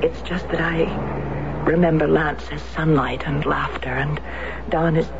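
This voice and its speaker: A woman's voice: sits low, steady tone, measured pace. An actress playing a determined grieving widow in her thirties who speaks firmly and earnestly, in 1970s radio drama style. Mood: wistful. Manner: firm, pressing, earnest. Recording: muffled lo-fi radio broadcast with faint hiss.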